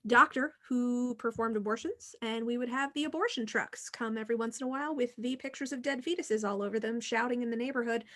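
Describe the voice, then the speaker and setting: Woman, high-pitched, bright forceful voice, podcast host, animated, emphatic, casual conversation. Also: fairly quick, hardly any pauses.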